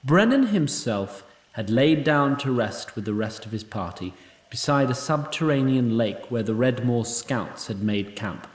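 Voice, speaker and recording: A man narrating a fantasy audio drama from a script in a measured, dryly told storytelling style. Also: medium pitch, lively intonation. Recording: echoey, music behind.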